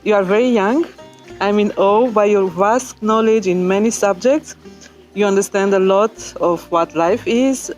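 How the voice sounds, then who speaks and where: A woman's voice: mid-range voice, darkish tone. Polite, formal woman interview host.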